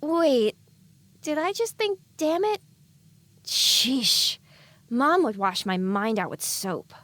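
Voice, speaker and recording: A woman voicing the innocent, cheeky inner thoughts of a girlish young pony in an audio drama, playful and expressive. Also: sits high, slow pace, dry recording, heavily acted. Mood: delighted, teasing.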